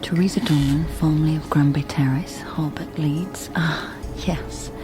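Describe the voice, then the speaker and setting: A woman's voice: sits low, steady tone, unhurried, over music. An actress playing a wry, guarded woman investigator in a naturalistic radio drama. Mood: absorbed, murmuring. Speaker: lead actor in a radio drama.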